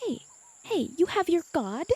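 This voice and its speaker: A woman's voice: extremely high pitch, big pitch swings, unhurried, warm tone, dry recording. A woman playing a tabletop game in character, speaking in a flustered, hesitant way. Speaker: player.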